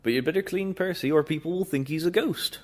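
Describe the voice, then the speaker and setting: A man voicing a brisk, chatty male railway signalman in a storybook reading. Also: on the high side, voice pushed up, close to an everyday voice. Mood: amused.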